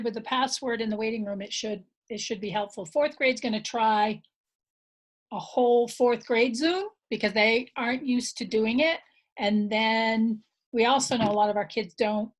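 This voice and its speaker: A middle-aged American woman chatting casually with colleagues in a work meeting on a video call. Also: a little high. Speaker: school staff member leading teachers.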